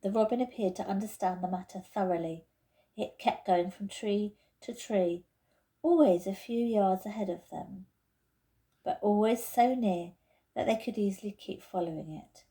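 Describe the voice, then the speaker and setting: A woman reading a children's story aloud as an expressive narrator. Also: medium pitch, moderate pace. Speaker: teacher reading aloud to pupils.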